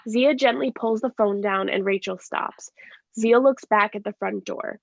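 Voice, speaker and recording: A woman narrates stage directions in a plain, step-by-step way. Her mid-pitched voice has noticeable creak, and the recording is dry and a little dull. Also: a little slow, dry recording. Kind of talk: scripted narration. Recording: dry, slightly dull lo-fi sound.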